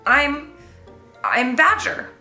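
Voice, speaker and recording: A woman's voice: high voice, lively intonation, extremely slow, pushed and bright, echoey room, soft background music, pitched above the performer's own voice, heavily acted. A woman voicing a shy, awkward but brave young boy kitten in a children's storybook, hesitant and guarded. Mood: nervous.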